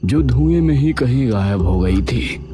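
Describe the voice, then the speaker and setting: Animated male Hindi storyteller over music. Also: medium pitch, unhurried. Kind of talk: scripted story narration with acted dialogue. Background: background music.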